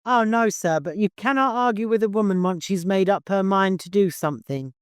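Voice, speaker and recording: A man's voice: sits high, brisk, clear, resonant tone, no room sound, clean studio sound, voice pushed up, lightly acted. A man voicing a genial, deferential, rotund married provisioner in an audiobook story. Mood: cheerful, deferential.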